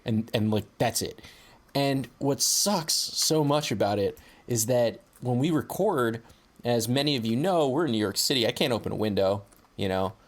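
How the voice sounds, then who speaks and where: A man's voice: mid-range voice, animated, normal speed. A young man co-hosting a podcast from his city apartment, laid-back, swearing and full of fillers. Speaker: podcast co-host. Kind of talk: casual chat.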